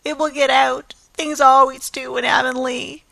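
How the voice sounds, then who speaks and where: A woman voicing an excitable, melodramatic orphan girl who pleads in a rush, in a storybook reading. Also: high voice, normal speed, gravelly, dry recording, pitched above the performer's own voice, full character voice. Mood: pleading.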